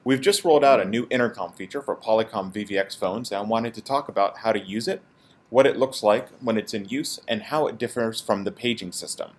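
A man's voice: lowish voice, fairly quick. A man presenting an informal, explanatory product tutorial as a support technician.

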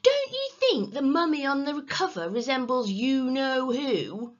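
A woman's voice: high-pitched, big pitch swings, measured pace, highs cut off, voice pushed up, full character voice. A woman as a sly, bossy, blustering boy. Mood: conspiratorial. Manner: blustering, wheedling, melodramatic. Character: sly, bossy, mischievous boy, older brother. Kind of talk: children's storybook reading.

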